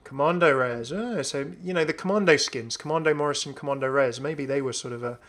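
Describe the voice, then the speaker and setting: A man hosting a gaming video, giving casual, enthusiastic commentary in a creaky voice as he browses game items. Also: medium pitch, a little fast, a bit bright. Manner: chatty, enthusiastic. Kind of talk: casual gameplay showcase commentary.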